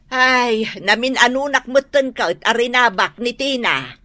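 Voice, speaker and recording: A woman's voice: fairly high pitch, a little slow, sharp tone, no echo. A woman playing a no-nonsense village wife and mother in an Ilocano radio comedy drama. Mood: exasperated.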